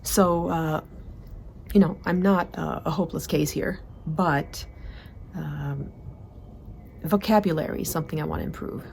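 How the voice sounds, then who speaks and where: Low gravelly woman vlogging. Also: a little slow, pauses often, darkish tone, slight background noise. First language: Swedish. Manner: chatty, frequent fillers and restarts. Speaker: productivity vlogger. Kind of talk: casual monthly report to camera.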